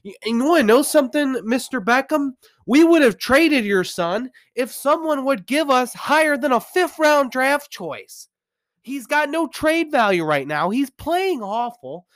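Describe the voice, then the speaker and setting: A man's voice: very high-pitched, sing-song, measured pace, fairly bright tone, no echo. A man with a stuffy nose from a cold, giving an opinionated, rambling football recap with many restarts. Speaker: sports commentator. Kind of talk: ranting sports recap.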